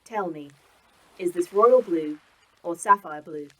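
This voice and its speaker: A woman's voice: fairly low pitch, takes it slowly, a bit bright, low-quality recording. An actress voicing a fussy, earnest female facility AI that fixates on small choices, in an audio drama. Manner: earnest, pedantic, oddly childlike. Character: fussy female facility AI, indecisive about colours.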